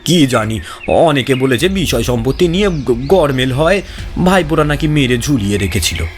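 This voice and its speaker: A man voicing a frightened, astonished village grocer in an audio ghost story, with a trembling, halting manner. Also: mid-range voice, expressive, lightly acted. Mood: grave, uneasy. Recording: long reverb, background music.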